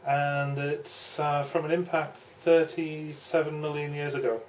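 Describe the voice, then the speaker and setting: A woman's voice: deep voice, level tone, unhurried, darkish tone. Woman chatting, faint radio hiss. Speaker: amateur radio operator. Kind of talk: casual radio chat.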